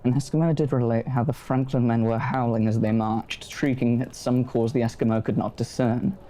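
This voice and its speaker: A British actor playing a stiff, proud upper-class Victorian gentleman with a formal, clipped manner. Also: medium pitch, steady tone, normal speed, close to an everyday voice. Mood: haughty. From United Kingdom.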